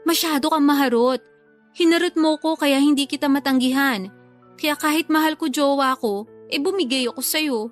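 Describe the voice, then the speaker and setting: A woman's voice: high voice, normal speed, faint music behind, natural voice. A woman acting a teasing, guilty lover in a secret affair, with a Filipino accent, in a radio love drama. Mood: candid, defensive.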